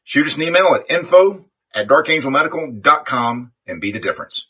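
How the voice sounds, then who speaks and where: A man's voice: a little high, lively intonation, brisk, fairly bright tone, breathy edge, poor audio. A man delivering a promotional commercial read as the owner of the company. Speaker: company owner in sponsor spot.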